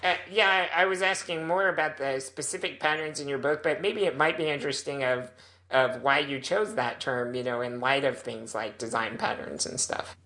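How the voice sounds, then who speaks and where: A man's voice: medium pitch, normal speed, pushed and bright. A man on a podcast panel asking questions in a hesitant, casual way.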